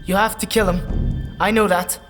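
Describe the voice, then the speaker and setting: An actor playing a humble, loyal young farmhand in a dark fantasy audio drama, with an earnest, anxious, pleading delivery. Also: male voice, high voice, fairly even intonation, moderate pace, close to an everyday voice. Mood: worried, resolute. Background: music.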